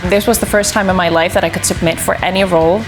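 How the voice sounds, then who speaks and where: A woman's voice: lowish voice, fairly even intonation, fast talker. Frank woman over music. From Iceland. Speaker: stunt performer and actress. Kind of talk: documentary interview. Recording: music playing under the voice.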